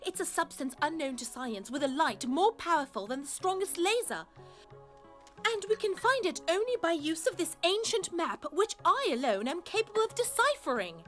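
Feisty very high-voiced English scholar. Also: female voice, big pitch swings, moderate pace, fairly bright tone, faint music behind, heavily acted. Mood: earnest. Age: young adult. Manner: assertive, emphatic. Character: feisty, bookish young English female scholar. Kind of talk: cartoon voice acting.

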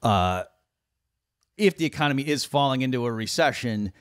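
Animated middle-aged man on a video call. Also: mid-range voice, normal speed, dry recording, clean studio sound. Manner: animated, mocks quotes in a raised voice. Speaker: economist guest. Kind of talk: casual discussion on economics. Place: small room, indoors. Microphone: studio mic on a boom arm, video call.

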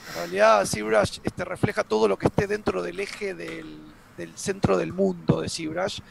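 An Argentine man co-hosts a live stream, reading out chat questions and explaining software. His voice sits high and is heavily gravelly, with a clear steady hiss underneath. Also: unhurried. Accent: Argentine Spanish. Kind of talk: casual live-stream chat and tech answers.

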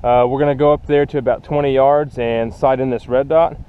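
A man hosting a casual shooting video outdoors, explaining his gear in a relaxed way with frequent ums, recorded on a head-mounted camera. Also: mid-range voice, a little fast. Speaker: gun channel host. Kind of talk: casual first-person range demonstration. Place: windy open field outdoors. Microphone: head-mounted action camera. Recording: lo-fi camera mic with loud wind noise. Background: wind buffeting the mic.

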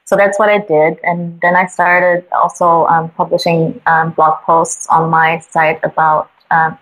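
A woman's voice: fairly low pitch, level tone, a little slow, fairly bright tone, low-quality recording. A young woman from Colombia, raised in Germany, coaching artists on business, speaking thoughtfully with frequent hesitations. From born in Colombia, raised in Germany. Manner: thoughtful, hesitant with many ums. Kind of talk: casual interview.

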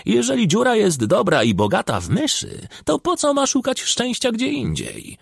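A man's voice: extremely high pitch, huge pitch swings, moderate pace, vocal fry, husky, dry recording, clean studio sound, pitched above the performer's own voice, heavily acted. A man voicing a blunt, mocking village man who taunts his neighbour in an earthy, emphatic way, in an audiobook. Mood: smug retort.

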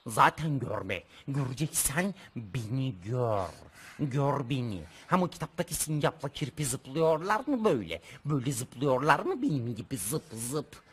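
An actor voicing a stubborn, self-important, childish bug puppet in a children's TV show, repeating himself insistently in a husky voice. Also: male voice, medium pitch, sing-song, a little slow, fairly bright tone, exaggerated voice. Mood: defiant. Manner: repetitive, insistent, turns phrases around.

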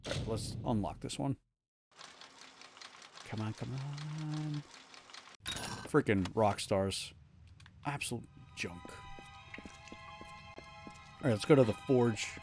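A man's voice: medium pitch, slow pace, pauses often. A man giving casual live gameplay commentary, thinking aloud in short, slangy remarks. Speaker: video game streamer. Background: game music.

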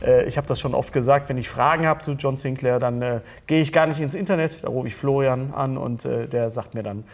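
A middle-aged man hosting a casual fan talk show, jokey and animated as he asks questions in a small studio. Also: mid-range voice, a little fast, gravelly. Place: small indoor studio set at a table.

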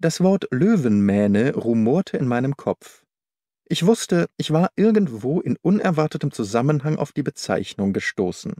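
A man voicing an astute, self-assured gentleman detective in an audiobook, precise and composed as he explains. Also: mid-range voice, big pitch swings, normal speed, dry recording, studio quality, close to an everyday voice. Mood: composed, explanatory. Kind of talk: audiobook character dialogue.

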